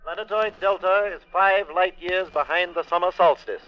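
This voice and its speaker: Formal alien commander over radio filter. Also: male voice, high voice, normal speed, smooth, lo-fi sound. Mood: measured, authoritative. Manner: clipped, formal, official. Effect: radio transmission filter. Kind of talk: 1940s-50s radio drama acting. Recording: band-limited old radio recording.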